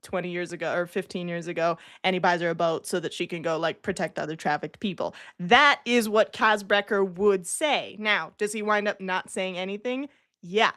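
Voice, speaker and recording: A woman's voice: fairly low pitch, moderate pace, a bit bright. A woman in a casual discussion, explaining her points in an informal, conversational way full of "like". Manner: informal, peppers talk with "like". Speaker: co-presenter in a writing discussion.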